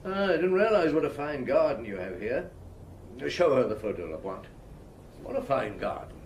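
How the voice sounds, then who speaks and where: An actor playing a shrewd, world-weary male chief inspector in a detective audio drama, measured and probing. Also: medium pitch, sing-song, a little mellow, a little hiss or hum, natural voice. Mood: probing, insistent. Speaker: lead actor and narrator.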